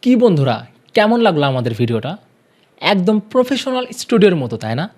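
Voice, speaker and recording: Lively, higher-pitched male tutorial presenter. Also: brisk, soft background music. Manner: addresses viewers directly with step-by-step instructions. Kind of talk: instructional tutorial narration.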